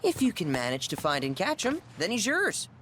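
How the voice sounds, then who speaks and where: Game role: shrewd country kid, Southern US accent, animated folksy delivery, born haggler. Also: mid-range voice, moderate pace, slight background noise. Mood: wry, bargaining. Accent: American Southern. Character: cocky, shrewd country boy, young ranch hand. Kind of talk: video game cutscene acting.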